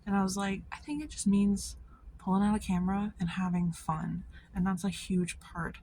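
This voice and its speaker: A woman's voice: medium pitch, normal speed, dark tone, a little hiss or hum. A woman giving casual vlog commentary in an informal, slangy way, peppered with "like" and swearing. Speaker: video creator.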